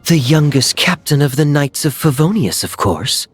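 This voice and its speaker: An actor playing a suave, teasing adult male knight in a game, smooth and given to chuckling. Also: fairly high pitch, animated, normal speed, soft background music, lightly acted. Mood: wry. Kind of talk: game cutscene voice acting.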